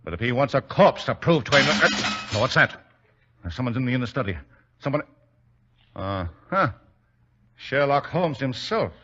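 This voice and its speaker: An actor playing a menacing, sneering criminal baron in an imperious, interrogating manner, in 1940s radio drama style. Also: male voice, mid-range voice, expressive, normal speed, long pauses, sharp tone, a little hiss or hum. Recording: dull lo-fi old radio recording.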